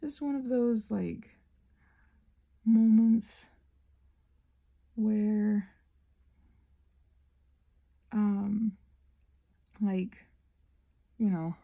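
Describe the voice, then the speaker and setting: Breathy, creaky woman rambling softly. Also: medium pitch, steady tone, extremely slow, pauses often, muffled recording. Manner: self-deprecating, hesitant, trailing off mid-thought; often drops into breathy near-whisper. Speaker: ASMR creator rambling while crafting. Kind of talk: casual rambling chat to viewers.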